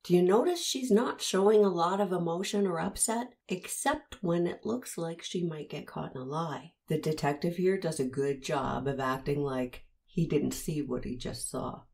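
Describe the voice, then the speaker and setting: A woman's voice: fairly low pitch, moderate pace, vocal fry, hardly any pauses, a little mellow. A woman hosting a commentary, analyzing an interview and pressing the listener with pointed rhetorical questions. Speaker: host analyzing interview footage.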